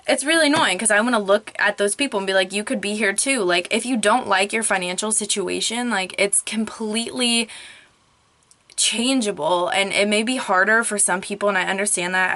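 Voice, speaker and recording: A young woman vlogger, slightly hoarse from losing her voice, ranting casually to a camera propped up in her closet, full of "like" and "um". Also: mid-range voice, moderate pace, sharp tone, dry recording. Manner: chatty, frequent "like" and "um", rising frustration. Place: small closet at home, clothes all around. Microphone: camera propped up on a crib.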